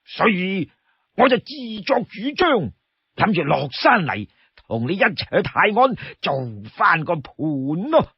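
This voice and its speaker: A man's voice: high voice, sing-song, measured pace, breathy edge, no room sound, pitched above the performer's own voice, exaggerated voice. A man voicing a boisterous, wheedling outlaw warrior in a storybook tale, pleading and exclaiming as he talks. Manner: pleading, exclamatory, stammers when cornered. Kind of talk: Cantonese storytelling character voice. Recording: dull, close dry studio sound.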